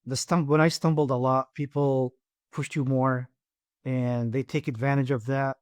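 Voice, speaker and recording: Iraqi-accented man, halting and earnest. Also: mid-range voice, little pitch movement, darkish tone, dry recording, studio quality. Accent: Iraqi Arabic. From Iraq. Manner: halting, with frequent restarts and repeated words. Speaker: guest, engineering firm president. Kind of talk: podcast interview.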